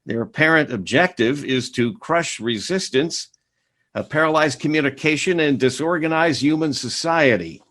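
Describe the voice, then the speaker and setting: A man's voice: fairly high pitch, lively intonation, normal speed, a bit bright. An actor playing a male radio news announcer in a radio play, reading urgent bulletins steadily with occasional hesitant fillers. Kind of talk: 1930s radio drama acting.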